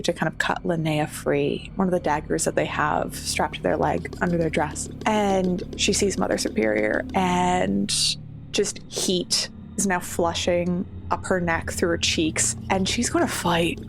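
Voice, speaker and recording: A woman's voice: fairly low pitch, steady tone, a little slow. A woman playing a tabletop roleplaying game, casually narrating what her character feels and does. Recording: clearly noisy background.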